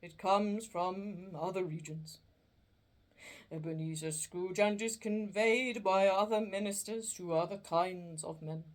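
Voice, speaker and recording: A woman voicing a doomed, chained male ghost, speaking slowly and solemnly in a storybook reading. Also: close to an everyday voice. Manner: slow, booming and drawn out.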